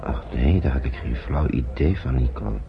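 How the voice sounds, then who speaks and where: Deep, gravelly older father. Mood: gently probing. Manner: halting, repeats words, soothing. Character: gentle older father shepherding children through wartime France. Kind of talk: old Dutch radio drama acting. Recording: muffled, noisy old radio drama recording.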